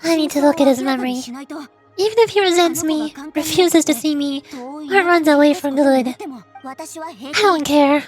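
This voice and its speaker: A woman voicing a stubborn, fretful, centuries-old granny-like sorceress from a game, speaking in an earnest, halting way. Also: high-pitched, faint music behind, natural voice. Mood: resolute. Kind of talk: fan voice acting of game dialogue.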